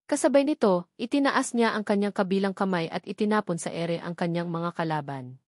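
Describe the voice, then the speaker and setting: A woman reading scripted audiobook narration of an action novel in measured, formal sentences. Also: medium pitch, no room sound, clean studio sound.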